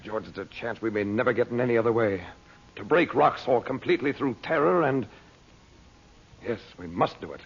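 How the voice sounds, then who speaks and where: An actor playing a commanding, kindly magician hero in a vintage radio drama, earnest and clipped in delivery. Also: male voice, medium pitch, a little fast, gravelly, breathy edge. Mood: determined. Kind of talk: 1940s radio drama acting. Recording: muffled, noisy old radio recording.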